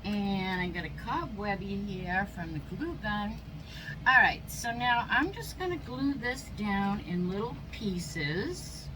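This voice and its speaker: A woman with a stuffy nose and a slightly squeaky voice, chatting casually as she narrates each step of a craft project. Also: expressive, takes it slowly, background noise. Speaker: craft channel host. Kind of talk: casual how-to crafting chat.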